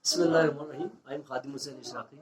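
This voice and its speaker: A Pakistani man with a fairly high voice answers interview questions about his school in careful, earnest English. He pauses often, restarts his sentences and leans on fillers, and his non-native phrasing slips in grammar now and then. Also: crowd noise. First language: Urdu or a local language. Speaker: school founder and English teacher.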